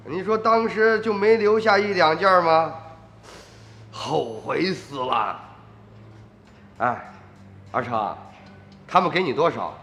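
A man's voice: fairly high pitch, expressive, slow, pauses often, fairly bright tone, exaggerated voice. An actor playing a pushy middle-aged village man in a radio drama, wheedling and insistent, repeating himself as he presses. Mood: wheedling regret. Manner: insistent, repetitive. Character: wheedling, pushy village father feigning greed. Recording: reverberant studio drama with music.

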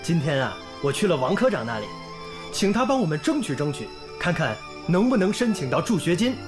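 An actor playing an earnest, idealistic young volunteer teacher in a radio drama, polite and sincere in manner. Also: male voice, fairly high pitch, very animated, fairly quick. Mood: polite, cheerful. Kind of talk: radio drama acting and narration. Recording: music bed under the voice.

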